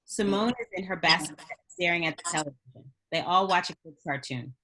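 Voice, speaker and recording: A woman with a fairly low voice reads screenplay action lines. She works as the narrator, setting each scene in scripted narration. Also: brisk, sharp tone, breathy edge, poor audio. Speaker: narrator reading stage directions.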